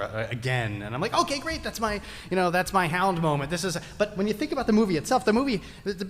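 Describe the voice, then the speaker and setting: A man on a live panel, talking casually and excitably and jumping in, recorded in a convention hall. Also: a little high. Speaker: guest panelist. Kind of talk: live podcast panel discussion. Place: large indoor convention panel room with live audience. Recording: echoey convention hall, clear background noise.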